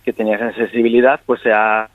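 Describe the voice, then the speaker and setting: A man from Asturias, a radio guest calmly explaining his case by phone, now and then chuckling lightly. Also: fairly low pitch, fast talker, no echo. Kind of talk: phone interview. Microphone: telephone. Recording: tinny phone line.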